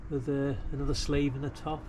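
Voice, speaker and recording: A man's voice: mid-range voice, level tone, fairly quick. Laid-back man, noisy outdoor recording. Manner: rambling, laid-back, colloquial, thinking aloud. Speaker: amateur radio hobbyist filming a DIY video. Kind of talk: casual chat while demonstrating DIY. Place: outdoors beside a brick house wall.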